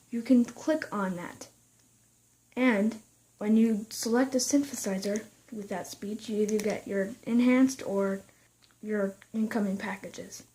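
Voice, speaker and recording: High-pitched voice giving a halting tutorial. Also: female voice, mellow, rough recording. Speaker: tutorial presenter. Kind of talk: step-by-step software tutorial, unscripted.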